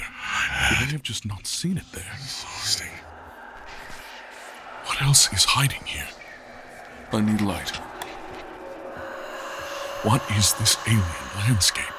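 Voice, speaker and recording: An actor playing an anxious, self-loathing fantasy man in an audio drama, muttering to himself and often slipping into breathy whispers. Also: on the low side, lively intonation, unhurried, long pauses, background noise. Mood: weary, bewildered. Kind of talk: audio drama inner monologue. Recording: music behind the voice. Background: loud music.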